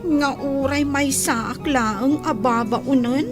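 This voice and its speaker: Casting: earnest young maid, plain-spoken, high voice, radio drama over music. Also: female voice, takes it slowly. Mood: tender, unsure. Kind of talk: Filipino radio drama acting. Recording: music bed under the dialogue.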